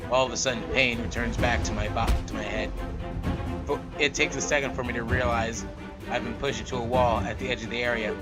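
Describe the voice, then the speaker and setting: A man's voice: mid-range voice, steady tone, fairly quick. Man narrating a game over music. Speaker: let's play host. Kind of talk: reading game narration aloud with casual asides.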